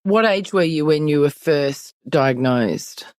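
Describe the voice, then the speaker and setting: A woman's voice: low-pitched, animated, slow, darkish tone, no echo, studio quality. A middle-aged woman with an Australian accent, hosting a conversational podcast interview.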